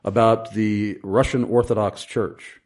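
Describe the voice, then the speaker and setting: A man's voice: fairly low pitch, flat delivery, unhurried, darkish tone. A male radio host asking curious, chatty questions of a guest. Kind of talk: radio call-in interview.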